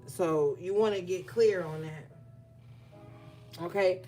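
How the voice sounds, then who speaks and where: A woman's voice: on the low side, slow, mellow, faint music behind. A woman giving a collective tarot reading, reading card meanings aloud and then talking them through casually with her viewers. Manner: reads guidebook text aloud, then riffs conversationally with fillers. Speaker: tarot reader.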